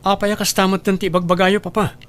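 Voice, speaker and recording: A man's voice: high voice, level tone, quick pace, a bit bright, smooth. A man playing a kind, distressed adult son in an audio drama, speaking in an earnest, pleading way. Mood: alarmed. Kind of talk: Ilocano audio drama acting.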